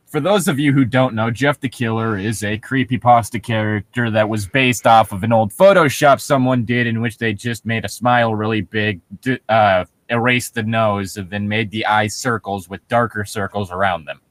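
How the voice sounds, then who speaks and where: A man's voice: lowish voice, fairly even intonation, moderate pace, hardly any pauses, no echo. A man hosting a comedic podcast in casual banter, swearing and sounding jokingly exasperated. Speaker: podcast host.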